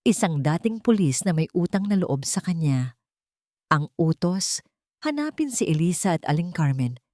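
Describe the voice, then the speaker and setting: A woman narrating a scripted audio drama in a steady, dramatic storytelling manner. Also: low voice, no room sound, clean studio sound.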